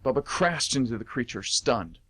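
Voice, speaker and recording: Mid-pitched man narrating radio drama. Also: big pitch swings, normal speed, a bit bright. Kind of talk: dramatic story narration for amateur radio theatre.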